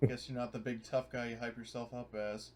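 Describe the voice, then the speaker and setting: A man's voice: medium pitch, flat delivery, fairly quick, a little mellow. A man talking casually as a player in a tabletop game. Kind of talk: casual chat.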